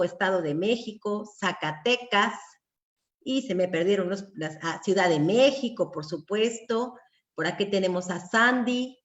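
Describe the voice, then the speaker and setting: Female moderator, Mexican accent, medium pitch, conversational live hosting. Roomy, echoing sound. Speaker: host and moderator. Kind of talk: informal live panel hosting. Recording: echoey room, dull lo-fi call audio.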